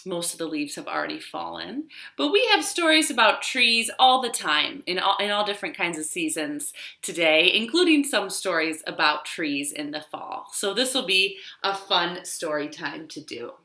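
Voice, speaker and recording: A woman's voice: fairly low pitch, animated, normal speed, sharp tone. A woman hosting a children's storytime in a sing-song style, drawing out repeated words and giving simple, encouraging instructions, recorded in a living room. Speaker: children's storytime host, librarian. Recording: roomy, echoing home living room.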